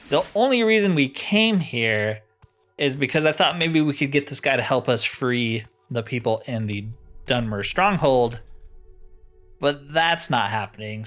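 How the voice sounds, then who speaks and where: A man's voice: medium pitch, a bit bright. A man hosting a gaming video, giving casual commentary on his roleplay playthrough.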